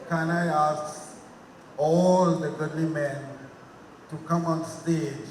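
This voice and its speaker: A man hosting a formal community event as master of ceremonies. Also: fairly high pitch. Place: community centre hall. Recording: echoey hall with clear background noise.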